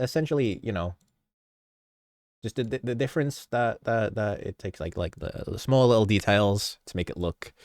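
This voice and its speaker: A man's voice: on the low side, fairly bright tone, smooth, no room sound, clean studio sound. A man with a stuffy, slightly sick voice, rambling through a casual behind-the-scenes talk about his video edits, often stopping himself mid-sentence and chuckling.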